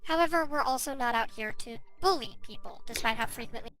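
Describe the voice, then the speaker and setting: High-pitched woman narrating over music. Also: brisk, fairly bright tone. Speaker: online commentary video creator. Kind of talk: casual video essay narration.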